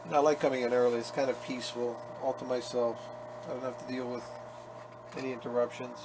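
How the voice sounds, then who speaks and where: Leatherworker chatting while working, wry and self-mocking, ordinary mid voice, clear shop noise behind. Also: male voice, mid-range voice, little pitch movement, fast. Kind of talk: casual workshop narration. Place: workshop.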